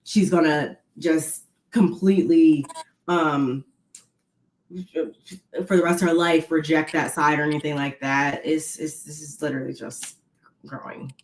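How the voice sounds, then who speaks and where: A woman's voice: low voice, steady tone, measured pace. Chatty woman in a roomy echo. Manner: rambling, many fillers and restarts. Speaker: podcast co-host recapping reality TV. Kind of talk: casual chat.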